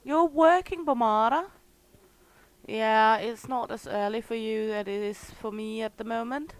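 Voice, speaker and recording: A woman with a slightly hoarse voice and a Danish mother tongue, casually commenting as she plays a game on a livestream and greeting her viewers by name. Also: on the high side, dry recording, rough recording. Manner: unhurried, chatty, greets viewers warmly by name. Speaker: gaming streamer.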